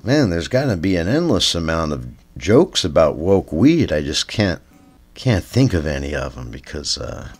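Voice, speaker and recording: A man with a Canadian accent hosting a spoof news podcast, reading headlines deadpan and drifting into rambling stoner asides that trail off with "man.". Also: low-pitched, moderate pace, husky. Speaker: comedy news podcast host.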